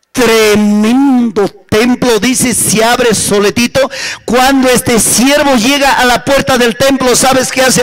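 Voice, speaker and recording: A male preacher with an Andean Spanish accent and a very high-pitched voice. He tells his sermon as a fervent, dramatic story. He repeats his phrases and turns to the congregation with rhetorical questions. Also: fairly bright tone, rough recording.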